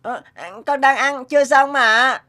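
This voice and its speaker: A woman's voice: high-pitched, fairly even intonation, unhurried. A woman voicing a sly, defiant young schoolboy troublemaker in a cartoon, with a cocky, grumbling way of talking. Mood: reluctant. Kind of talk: animated moral tale dubbing. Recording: loud music behind the voice.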